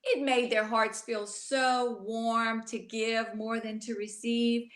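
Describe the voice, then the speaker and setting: Woman storyteller for children's picture book: slow, expressive delivery, talks straight to kids, roomy sound. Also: a little high. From Louisiana. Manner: expressive, addresses young listeners directly. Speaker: children's author reading aloud. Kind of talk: bedtime story read aloud to children.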